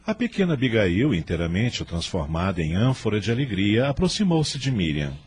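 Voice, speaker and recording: A man's voice: fairly low pitch, big pitch swings, normal speed, darkish tone. A man narrating a scripted radio drama in a dramatic storytelling style. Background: faint background music.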